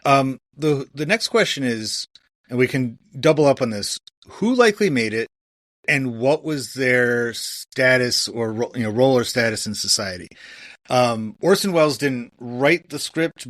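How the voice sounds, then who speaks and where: A man's voice: medium pitch, expressive, a little slow, a bit bright, no echo, clean studio sound. A man hosting a podcast, talking casually and conversationally about history. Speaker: podcast host.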